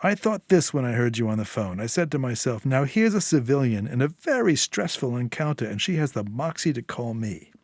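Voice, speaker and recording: A man voicing a menacing, coolly confident underworld fixer in a story, deliberate, commanding and sardonic. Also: medium pitch, very animated, darkish tone, no room sound. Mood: knowing, menacing.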